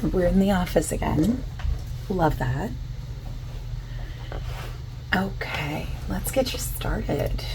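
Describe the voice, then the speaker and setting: A soft-spoken woman doing an ASMR roleplay as a survey interviewer, asking questions in a gentle, casual, reassuring way. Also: low-pitched. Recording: constant keyboard typing noise. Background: computer keyboard typing and clicking.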